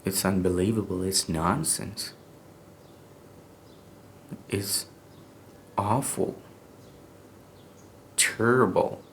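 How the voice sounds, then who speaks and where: A young man with an Iranian accent talks slowly through a personal story in English, his second language, stopping and restarting often. His voice sits fairly low, and a faint hum of ambient noise hangs behind him. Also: gravelly, fairly bright tone. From Iran. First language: Persian. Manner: halting, hesitant English with many restarts and fillers. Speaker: podcast speaker.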